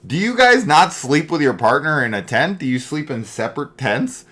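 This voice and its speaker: A man's voice: a little high, very animated, normal speed, sharp tone. A middle-aged man hosting a casual film review, rambling and opinionated, with emphatic points.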